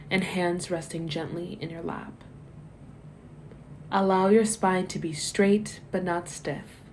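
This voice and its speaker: Meditation guide, female, lowish relaxed voice, soothing and measured scripted delivery with gentle phrase endings. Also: a little hiss or hum. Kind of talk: guided body scan meditation, scripted narration.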